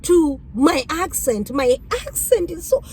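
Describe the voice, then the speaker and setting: A woman with a Kenyan accent, whose first language is Kikuyu, telling stories about being a rideshare driver in an animated, exclamatory way. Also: sits high, unhurried, slight background noise. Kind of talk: casual storytelling vlog.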